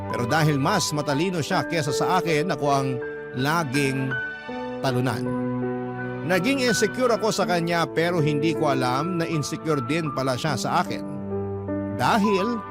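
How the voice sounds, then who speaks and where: A Filipino man hosts a radio drama, reading a listener's personal story as scripted narration. His voice is fairly high for a man and has a Filipino accent, with loud music playing behind it. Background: prominent music.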